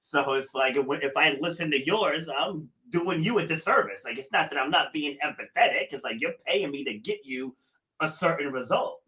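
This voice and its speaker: An energetic man hosting a podcast interview, talking in a loose, chatty way with frequent laughs. His voice sits a little high and sounds bright and forceful. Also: moderate pace, low-quality recording. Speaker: podcast host, gym owner.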